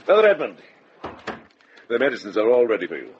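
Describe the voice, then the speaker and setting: Older monk herbalist, radio drama, gently scolding, practical, kinda dull hissy recording. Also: male voice, medium pitch, wildly swooping pitch. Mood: brisk, careful. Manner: measured, gently admonishing, practical. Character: wise older Welsh monk herbalist, kindly but brisk.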